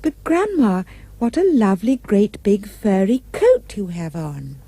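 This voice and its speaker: A woman's voice: medium pitch, wildly swooping pitch, a little slow, mellow, slightly rough, dry recording, heavily acted. A woman playing a sweet, innocent-sounding but bold little girl in a storybook puppet show, theatrical and wide-eyed. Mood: innocent, marvelling.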